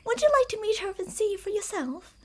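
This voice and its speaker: A woman voicing an obsessive female fox spirit in a borrowed human body, speaking in a calm, formal, quietly manipulative way that dips into whispers. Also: very high voice, fairly quick. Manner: soft-spoken, dipping into whispers. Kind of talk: ASMR roleplay.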